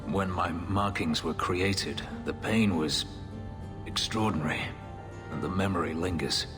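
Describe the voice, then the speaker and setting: An actor playing a haunted, guarded former slave, an elf warrior who speaks in a measured, formal, restrained way, in video game dialogue. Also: male voice, low voice. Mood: tender.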